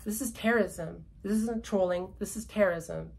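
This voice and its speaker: A woman's voice: mid-range voice, normal speed, vocal fry, a little mellow, slightly rough, low-quality recording. A Canadian woman with an accent she credits to British loyalist ancestry, talking politics in an emphatic, repetitive, ranting way. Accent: British-descended Canadian. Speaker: commentator. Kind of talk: casual political chat.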